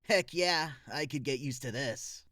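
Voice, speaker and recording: A man's voice: on the high side, a little slow, heavy background noise, lightly acted. A man playing an eager, cheeky young Pokemon explorer in a comic dub, casual and gung-ho. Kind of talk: animated comic dub acting.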